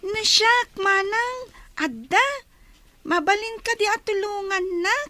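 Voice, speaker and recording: A woman's voice: very high-pitched, takes it slowly, bright, forceful tone, no echo, heavily acted. A woman with an Ilocano accent voicing a whiny little schoolgirl in a radio drama. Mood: pleading, cheerful. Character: whiny little schoolgirl, admiring younger sister.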